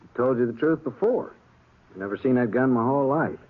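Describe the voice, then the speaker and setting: A man's voice: mid-range voice, lively intonation, brisk, slightly rough. An actor playing a bitter, defensive young condemned man in a 1950s radio western, with rustic, folksy speech. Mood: defiant. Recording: muffled old radio recording, faint music.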